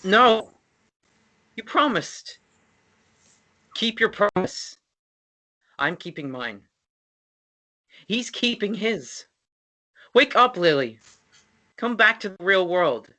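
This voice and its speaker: A man playing an earnest, stubborn young man in a dramatic screenplay reading, intense and halting as he pleads. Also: high-pitched, very animated, sharp tone, heavily acted. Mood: desperate, forceful. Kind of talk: dramatic table read of a romance screenplay.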